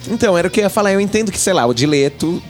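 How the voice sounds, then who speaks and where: Male podcast co-host, animated and jokey, slightly high voice, faint music under. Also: very fast. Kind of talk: casual chat.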